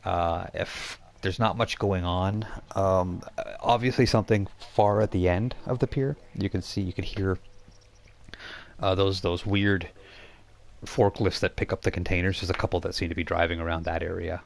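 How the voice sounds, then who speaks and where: Low-voiced man running a tabletop game. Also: steady tone, creaky. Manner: halting, informal, explanatory. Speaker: game master. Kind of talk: improvised narration of a tabletop role-playing game.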